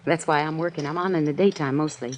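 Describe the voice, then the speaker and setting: A woman's voice: low-pitched, fairly even intonation, quick pace, natural voice. An American woman playing a nervous, evasive lunchroom waitress in a 1950s radio drama, hesitant and defensive. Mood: uneasy, evasive. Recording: faintly noisy old radio recording.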